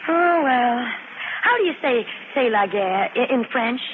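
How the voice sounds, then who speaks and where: Modest young flight nurse, tinny old radio. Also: female voice, on the high side, expressive, measured pace. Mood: wry, weary. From Alabama, United States. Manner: hesitant, understated, dryly joking. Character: modest young American flight nurse, a wry war heroine. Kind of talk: 1950s radio drama acting. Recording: noisy, tinny 1950s radio recording.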